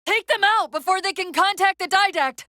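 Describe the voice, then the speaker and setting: A woman voicing an urgent, clever military AI companion in a video game, wry and earnest, giving crisp tactical briefings with an undercurrent of strain. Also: very high voice, normal speed, bright, forceful tone, no echo, clean studio sound. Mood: urgent, alarmed.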